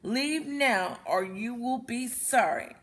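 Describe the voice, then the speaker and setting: Plucky defiant little boy pig for a storybook, read by a woman, indignant, fairly high voice. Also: takes it slowly. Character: defiant little male pigs, wary storybook brothers.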